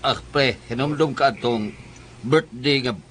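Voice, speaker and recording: A man's voice: medium pitch, measured pace, a bit bright, a little hiss or hum, lightly acted. A man playing a neighbourhood buddy in a comedy skit, with a Cebuano accent. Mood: chummy.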